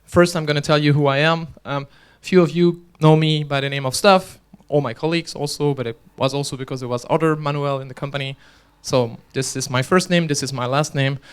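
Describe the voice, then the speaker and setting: Clear-voiced man giving a joking conference talk. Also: fairly high pitch. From Belgium. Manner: chatty, joking, restarts often. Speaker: conference speaker and organizer. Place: conference hall.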